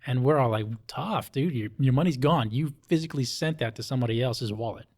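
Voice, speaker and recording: A man's voice: medium pitch, brisk, darkish tone. A man with an American accent chatting casually as an interview guest, in blunt, colloquial language. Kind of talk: casual conversation.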